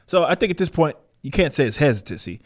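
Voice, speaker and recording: A man's voice: a little high, big pitch swings, quick pace. A man giving casual, opinionated podcast commentary in a combative, emphatic manner with plenty of swearing. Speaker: podcast host.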